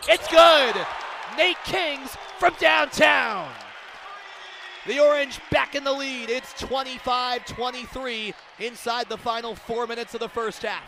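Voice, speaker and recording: A man doing live radio play-by-play of a basketball game, an excitable announcer who builds to loud calls on big plays. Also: very high-pitched, unhurried, creaky. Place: courtside in a large indoor arena. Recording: crowd noise, bouncing ball in arena. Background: arena ambience, basketball bounces.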